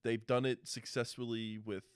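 A man's voice: medium pitch, flat delivery, normal speed, clear, resonant tone, dry recording, studio quality. A man co-hosting a casual discussion, emphatic and sweary, repeating phrases to press his point. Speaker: co-host of a film discussion show. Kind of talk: casual chat.

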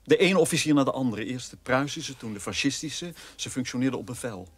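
An actor playing the bitter, idealistic son of a war criminal, speaking in an earnest, accusatory way in a radio play. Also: male voice, medium pitch, expressive, fairly quick, breathy edge. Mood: proud, defiant.

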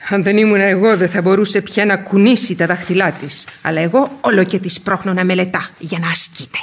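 A woman's voice: medium pitch, a little hiss or hum, natural voice. An actress playing a garrulous, fussy middle-aged mother in a theatrical radio play. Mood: fussy, dramatic. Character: chatty, complaining middle-aged mother of spinster daughters.

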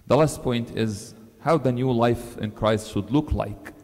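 A man, a priest giving an earnest sermon into a lectern microphone, lifting his pitch to stress key words. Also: measured pace, darkish tone. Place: large church, seated at a lectern. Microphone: stand mic on the lectern. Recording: long church reverb.

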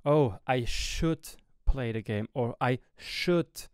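A man's voice: medium pitch, slow pace, clear, resonant tone, dry recording, clean studio sound. Swiss man with a lilting, rising-falling delivery. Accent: Swiss German. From Switzerland. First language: German. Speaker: gaming YouTuber and streamer. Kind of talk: reflective personal monologue to camera. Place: home gaming room, indoors, small. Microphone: studio mic on boom arm close to mouth, wearing headset.

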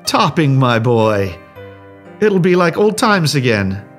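An actor as a jovial old chum from Victorian high society in a radio play. He sounds plummy and hearty, with big pitch swings over light music. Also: sing-song, slow. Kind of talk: theatrical audio drama acting.

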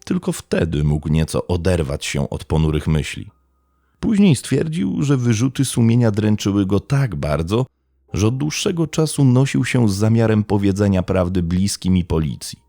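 A man narrates true crime from a script. His voice is gravelly and moves through lively rises and falls as he tells the story in a measured, dramatic way. The sound is close and very dry. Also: fairly low pitch, dry recording.

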